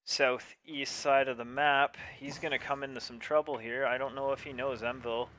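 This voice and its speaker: A man commentating live on an esports tank match as streamer, with a halting delivery that repeats words. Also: mid-range voice, steady tone, fairly bright tone, poor audio.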